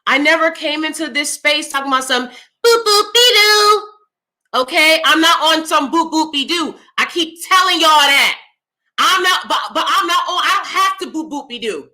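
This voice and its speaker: A woman with a loud, clear voice giving her opinions in a casual vlog, blunt and emphatic as she speaks straight to viewers. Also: sits high, animated, moderate pace. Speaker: YouTube commentator.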